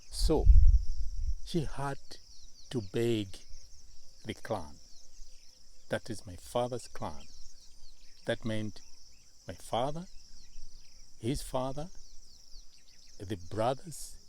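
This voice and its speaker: A middle-aged Malawian man speaks very slowly, in short measured phrases with pauses. His voice sits low and mellow. Faint crickets and outdoor noise hum behind him. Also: expressive. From northern Malawi. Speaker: interviewee. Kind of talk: slow, deliberate storytelling in an interview.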